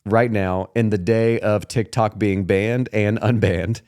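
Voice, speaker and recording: Low-voiced man in his thirties, podcast host. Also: steady tone, a little slow, a little mellow, clean tone. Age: 30s. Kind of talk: casual podcast chat.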